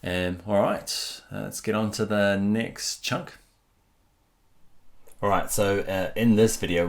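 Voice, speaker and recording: Kiwi man, very low deep voice, hushed and rambling, casual web tutorial host. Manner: speaks softly, rambling with many fillers. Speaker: web developer. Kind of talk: casual screen-recorded tutorial. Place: home at night while family sleeps. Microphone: computer mic while screen recording.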